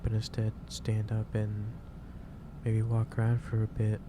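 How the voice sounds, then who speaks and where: Male, low-pitched, gentle boss roleplay in ASMR style, halting advisory delivery, over clearly noisy ambience. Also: completely flat delivery. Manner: hesitant, halting, pausing mid-sentence, gently advising. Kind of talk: soft ASMR roleplay, improvised monologue to the listener.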